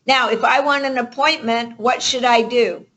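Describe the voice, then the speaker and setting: A woman's voice: fairly high pitch, fairly even intonation, moderate pace, fairly bright tone. A woman giving motivational coaching to a group on a conference call, emphatic and checking in with rhetorical questions. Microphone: conference call line.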